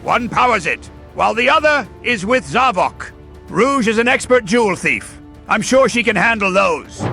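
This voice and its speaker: A man voicing a pompous, megalomaniac mad genius villain in a theatrical comic dub, gravelly and forceful. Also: high-pitched, animated, normal speed, faint music behind, heavily acted. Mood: scheming.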